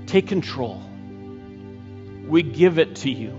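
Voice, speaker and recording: A middle-aged man preaching a sermon and leading a closing prayer, slow and earnest with frequent pauses, on a headset mic. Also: a little high, lively intonation, darkish tone, faint music behind. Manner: slow, earnest, pausing often. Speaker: pastor. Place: church stage, indoors. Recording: dull church recording with long reverb.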